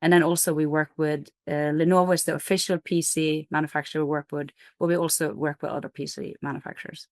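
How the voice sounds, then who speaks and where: A woman's voice: sits low, flat delivery, fast talker, few pauses, no room sound, studio quality. A middle-aged woman from Norway, a company CEO, explaining her business in an interview with energy, fillers and restarts.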